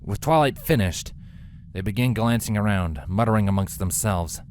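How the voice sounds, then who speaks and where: A man's voice: fairly low pitch, expressive, moderate pace, a little mellow, a little hiss or hum. A man reading a scripted story as a dramatic narrator. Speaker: fan fiction narrator.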